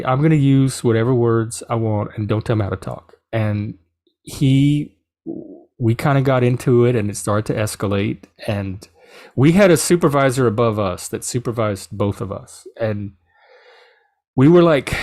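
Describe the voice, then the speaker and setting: A middle-aged man from South Louisiana with a Cajun English accent, telling a personal story in a casual, animated way. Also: medium pitch, no echo, clean studio sound. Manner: animated, quoting others in reported dialogue. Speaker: podcast host.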